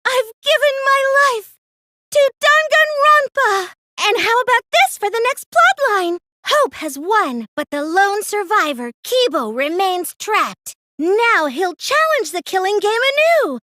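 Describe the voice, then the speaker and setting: Girlish game villain: extremely high, bright voice, theatrical, taunting, smug mastermind obsessed with fiction. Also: big pitch swings, a little slow, no echo, studio quality, full character voice. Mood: smug, devoted, then grandly narrating. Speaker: video game voice actor. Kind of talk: scripted anime-style English game dub acting.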